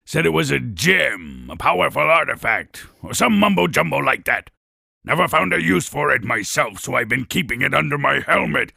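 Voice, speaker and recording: Gravelly, brash warrior creature. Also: male voice, mid-range voice, sing-song, normal speed, sharp tone, dry recording, clean studio sound, heavily acted. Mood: gruff, dismissive. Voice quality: gravelly, rough. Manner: blustering, brash. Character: tough, boastful warrior creature, grudgingly admiring. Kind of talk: scripted video game character speech.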